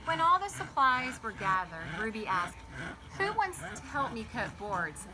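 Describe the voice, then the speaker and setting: Female kids' read-aloud host, higher register, animated and question-filled delivery, recorded outside with noticeable ambient noise. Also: a little slow. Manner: animated, addresses young listeners with questions. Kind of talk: storybook read-aloud with chatty asides to children. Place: garden, sitting outdoors by a small table fort.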